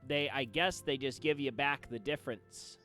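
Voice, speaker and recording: A man chatting casually on a game livestream at home, with playful, drawn-out phrasing. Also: mid-range voice, pushed and bright. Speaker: game streamer. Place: indoors at home. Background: game music faintly behind.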